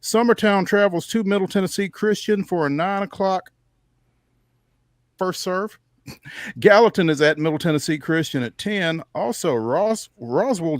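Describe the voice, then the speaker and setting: A man's voice: fairly high pitch, no echo, clean studio sound. An American man hosting a sports show, reading through a local games schedule in a conversational way with off-the-cuff asides.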